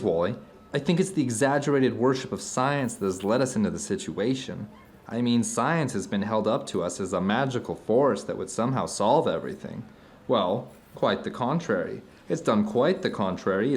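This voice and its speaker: A man's voice: medium pitch, close to an everyday voice. A man playing a thoughtful, philosophical friend in an audio drama, speaking in an articulate, searching, discursive way. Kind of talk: conversational audio drama acting.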